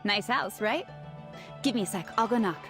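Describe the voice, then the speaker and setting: A woman voicing an energetic young woman who guides others and calls out urgently. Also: mid-range voice, normal speed, natural voice. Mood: eager. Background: game music.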